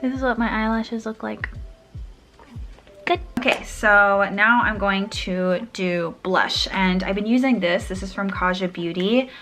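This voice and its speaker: A woman's voice: mid-range voice, unhurried, a bit bright, faint music behind. A young woman chatting casually through a makeup tutorial, a little sniffly, rambling and trailing off mid-sentence. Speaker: beauty vlogger.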